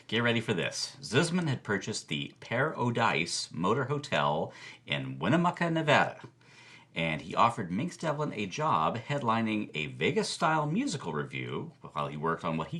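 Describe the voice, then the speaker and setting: A man's voice: lowish voice, sing-song, moderate pace, a bit bright. A man playing a gossipy film-history expert, telling scandalous stories theatrically as a podcast guest and answering his own questions. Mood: gleeful, scandalized. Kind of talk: animated storytelling in a podcast interview.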